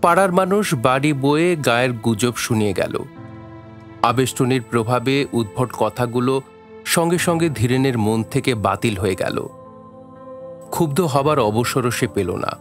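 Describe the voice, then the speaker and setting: A man narrating a literary story for an audio drama in a measured, dramatic way. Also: mid-range voice, expressive. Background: faint music.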